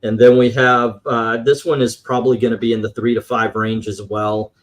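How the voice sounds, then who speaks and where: A man's voice: mid-range voice, level tone, normal speed. A man hosting a casual show-and-tell as a collector, rambling along with occasional profanity. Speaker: comic book collector and show host. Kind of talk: casual show-and-tell commentary on vintage comics.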